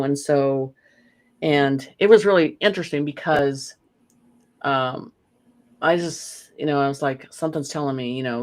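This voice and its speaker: A guest in a casual conversation, rambling and animated, often saying "you know.". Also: medium pitch. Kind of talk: casual chat.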